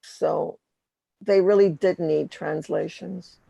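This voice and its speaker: A woman's voice: lowish voice, fairly even intonation, slow pace, vocal fry, warm tone, dry recording. A woman chatting casually and a little hesitantly in an online discussion among teachers. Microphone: online video call.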